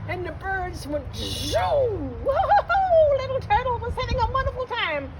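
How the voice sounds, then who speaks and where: A woman storyteller with a very high, animated voice tells a children's tale. She goes slowly, stretching key words expressively, in a noisy outdoor recording.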